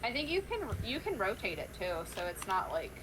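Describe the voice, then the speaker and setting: A young woman guest streamer chatting casually while gaming, animated and sarcastic. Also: mid-range voice, background noise. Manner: animated, sarcastic, quick reactions.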